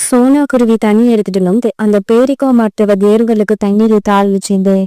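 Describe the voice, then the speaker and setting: A woman's voice: medium pitch, fairly even intonation, brisk, mellow, smooth, no room sound. A woman narrating a scripted children's story.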